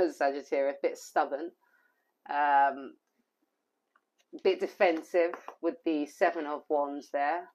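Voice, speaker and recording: A hoarse woman giving an intuitive tarot reading, rambling and repeating phrases with plenty of fillers. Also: deep voice. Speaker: tarot reader.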